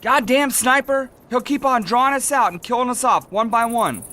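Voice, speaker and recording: Pinned-down GI, alarmed soldier: extremely high, strained-up pitch, loud noise around. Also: male voice, moderate pace. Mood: alarmed, angry. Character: alarmed American soldier under sniper fire. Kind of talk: video game cutscene dialogue.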